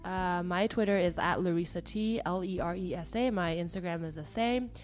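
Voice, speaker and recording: A woman hosting a podcast in a casual, chatty way, her voice hoarse and partly lost after travel, with many fillers. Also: lowish voice, normal speed, soft background music. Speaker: podcast host.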